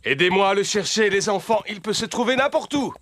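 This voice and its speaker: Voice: mid-range voice, animated, a little fast, over music, lightly acted. An actor playing an earnest, easily flustered adult kangaroo in a children's cartoon, explaining with emphasis. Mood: urgent, eager.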